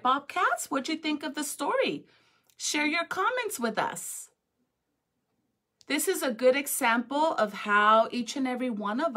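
A woman in her thirties talking to young students as an educator, expressive and encouraging. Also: fairly high pitch. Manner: expressive, encouraging, addresses children directly. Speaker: school educator reading to students. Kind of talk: read-aloud and chat to children. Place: small school office or classroom, indoors. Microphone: phone at arm's length.

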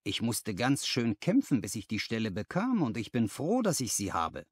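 Man playing a modest, earnest young clerk, lively intonation. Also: medium pitch, normal speed, breathy edge, no echo, clean studio sound. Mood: earnest, doubtful. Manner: polite, earnest. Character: modest, polite young male clerk seeking advancement. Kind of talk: dramatized audiobook dialogue.

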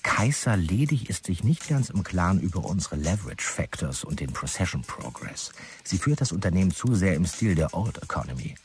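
An actor playing a pedantic, self-important marketing chief dictating formal messages in a German audio drama. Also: male voice, low voice.

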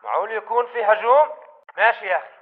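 A man's voice: sits high, very fast. A man playing a wary militant with a Levantine Arabic accent, speaking through a radio filter in a video game. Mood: worried. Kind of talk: video game combat chatter. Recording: phone-like tinny radio transmission.

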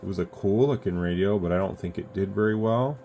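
A man's voice: sits low, fairly quick. An American man giving casual, unscripted product commentary as a video host, rambling along conversationally. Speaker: radio reviewer, video host. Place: indoors at an electronics radio bench. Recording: steady background noise, room ambience.

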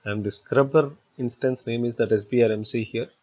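A man giving step-by-step software tutorial narration as an instructor, in a halting, repetitive explanatory way. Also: mid-range voice, steady tone. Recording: dull lo-fi voice-over recording.

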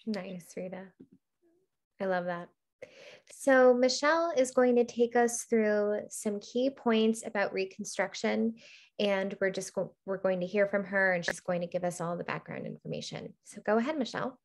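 A woman's voice: medium pitch, moderate pace. A woman hosting and moderating an online webinar, upbeat and organized with occasional ums, over a computer mic. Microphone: computer mic on a video call.

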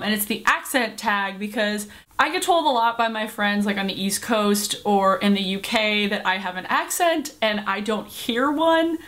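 A woman's voice: a little high, a bit bright. Chatty young woman vlogging at home. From Pacific Northwest, Seattle area. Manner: chatty, rambling, with fillers. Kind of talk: casual chat to camera. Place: small cluttered bedroom, indoors. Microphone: camera at close range.

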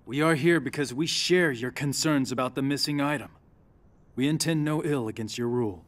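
A man's voice: mid-range voice, moderate pace, natural voice. A man playing an earnest young warrior monk in a game, speaking formally and persuasively. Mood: earnest, diplomatic. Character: earnest young male warrior monk, smooth-talking diplomat. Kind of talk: video game cutscene acting.

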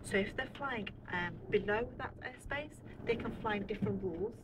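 A woman's voice: a little high, a little fast. A woman giving an informal, instructive explanation to visitors as an air traffic controller, often putting questions to them. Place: air traffic control radar room, indoors. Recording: noisy room, handheld camera recording.

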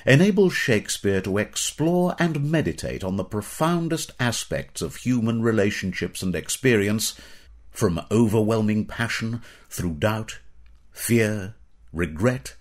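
Expressive, low-pitched British male narrator. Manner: measured, formal, literary delivery. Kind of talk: scripted educational narration.

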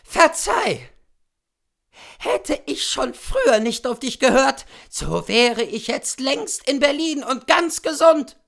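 A man voicing an irritable, self-pitying sick gentlewoman, fretful and aggrieved, in a classic story reading. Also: very high-pitched, very animated, takes it slowly, creaky, voice pushed up, exaggerated voice.

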